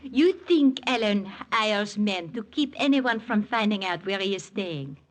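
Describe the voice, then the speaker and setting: An actress playing an alluring, shrewd French woman in a 1940s radio drama, teasing in measured, formal English with a French accent. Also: medium pitch, animated, a little hiss or hum, natural voice. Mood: teasing, coy.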